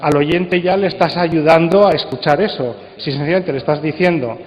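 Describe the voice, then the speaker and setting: A man's voice: on the high side, normal speed. A man with a Spanish accent giving an informal conference talk, conversational and putting rhetorical questions to his listeners. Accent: Spain. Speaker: conference speaker on radio drama. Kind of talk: informal spoken presentation. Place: large conference hall. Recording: muffled, echoey hall sound.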